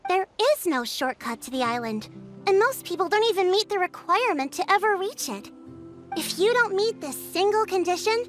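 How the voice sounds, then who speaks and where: A woman voicing a composed, astute young female chief in a video game, explaining things in a measured, authoritative way. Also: extremely high pitch, fairly bright tone.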